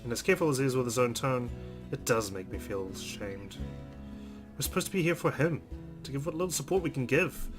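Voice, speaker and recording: A male gamer with a medium-pitched voice reads story text aloud for a let's play. His delivery is expressive, moving between narration and casual commentary. Faint game music plays behind him. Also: a little fast. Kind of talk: expressive reading of visual novel narration with casual asides.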